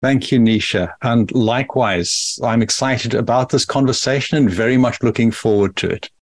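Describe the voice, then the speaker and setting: A middle-aged man from South Africa, a podcast guest who explains his path in a measured, thoughtful way. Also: medium pitch. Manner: measured, thoughtful, careful phrasing. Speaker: guest, company founder. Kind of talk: podcast interview.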